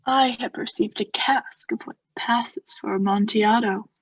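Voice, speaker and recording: A young woman playing a coldly vengeful noble who feigns friendship, in a measured, formal, insinuating theatrical radio-play style. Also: a little high, steady tone, slightly rough, no echo, dull audio. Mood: falsely solicitous. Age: young adult. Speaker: student actor.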